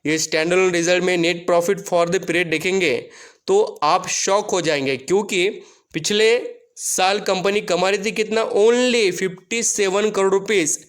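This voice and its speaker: A man's voice: high voice, steady tone, unhurried, fairly bright tone, clean tone. A man hosting an online finance video, explaining figures to viewers and mixing in many English terms and numbers. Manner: Hindi heavily mixed with English terms and numbers. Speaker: finance YouTube host. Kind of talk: explanatory stock results commentary to viewers.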